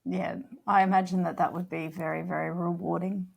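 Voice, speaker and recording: A woman co-hosting a podcast in casual chat, enthusiastic and quick with short affirmations. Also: fairly low pitch, flat delivery, vocal fry. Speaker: podcast co-host.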